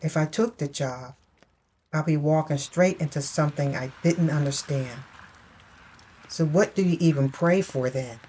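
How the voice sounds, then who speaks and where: Woman telling a dramatic story, low voice, takes her time, scripted fiction narration. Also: unhurried, dark tone, slight background noise. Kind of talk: scripted first-person audio fiction narration.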